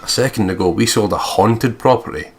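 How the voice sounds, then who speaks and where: A man's voice: lowish voice, fairly quick, slight background noise. A man playing through a game, reading its text aloud and adding sweary, jokey commentary. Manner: sweary, jokey, giggly, puts on mock quotes. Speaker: let's play commentator.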